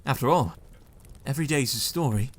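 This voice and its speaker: Male actor as devoted Victorian father, earnest, animated. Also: mid-range voice, fairly quick, natural voice. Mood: fatherly. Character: devoted Victorian husband and father, adult man.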